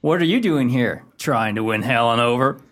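A man's voice: mid-range voice, lively intonation, moderate pace, a bit bright, close to an everyday voice. A man playing a cocky, overbearing romantic rival in an amateur radio play, brash and self-assured. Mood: cocky, prying.

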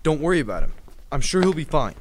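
A young actor playing a brash, irritable teenage schoolboy in a zombie radio drama, flippant and slangy. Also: male voice, on the high side, big pitch swings, fast talker, a little hiss or hum. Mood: breezy.

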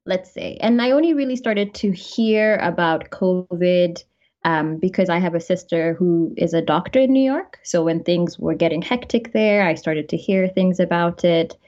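A Kenyan woman with an accent touched by American speech, a journalist who tells stories in a relaxed way as a podcast guest. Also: fairly low pitch, normal speed, dull audio. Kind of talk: casual interview chat. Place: home in Kenya.

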